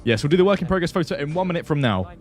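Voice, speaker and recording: A man's voice: a little high, expressive, rapid-fire. A chatty man hosts a live stream and greets his viewers one by one. He laughs easily and keeps up a loose, friendly patter. Faint music plays underneath. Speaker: livestream host. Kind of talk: casual live stream chat.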